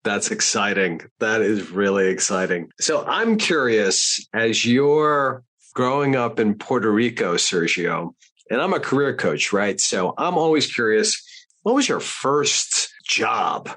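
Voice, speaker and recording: A man's voice: lowish voice, big pitch swings, a little slow, a bit bright. A man co-hosting a podcast who is also a career coach, asking friendly, curious questions while he has a cold. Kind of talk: casual interview questions.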